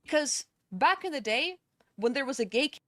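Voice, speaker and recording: A woman's voice: high-pitched, expressive, normal speed, fairly bright tone, no echo, clean studio sound. A woman giving her opinion in a casual, blunt, sometimes profane way, from a played clip. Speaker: content creator in a reacted clip.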